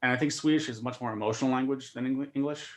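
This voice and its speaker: Fast-talking comedian, stammering restarts. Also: male voice, mid-range voice, fairly even intonation. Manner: fast-talking, repeats words while starting sentences. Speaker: comedian guest. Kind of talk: casual chat on video call.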